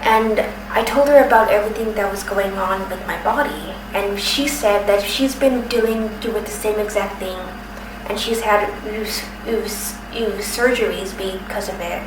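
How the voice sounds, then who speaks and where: A woman in her thirties who stutters, speaking slowly with pauses and restarts in a personal testimony. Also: mid-range voice. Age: 30s. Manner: stutters, with repeated sounds and restarts; slow, careful, with pauses. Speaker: documentary submitter. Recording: roomy, distant from the mic, noisy background.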